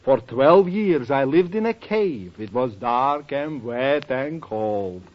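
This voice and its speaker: An actor playing a wisecracking, eccentric old professor who teases with punchlines, in 1940s radio sitcom acting. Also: male voice, mid-range voice, expressive, slow pace. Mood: joking. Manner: joking, teasing punchlines. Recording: dull vintage radio with audience.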